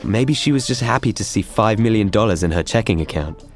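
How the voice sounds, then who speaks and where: Male actor as pragmatic agent: lowish voice, skeptical, defensive, faint music, sci-fi audio drama. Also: lively intonation, a little fast, lightly acted. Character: defensive, pragmatic male operative reporting to his leader.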